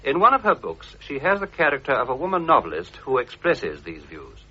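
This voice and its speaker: Animated radio presenter, bright male voice. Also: medium pitch, brisk. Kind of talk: scripted radio documentary narration. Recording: dull vintage radio recording.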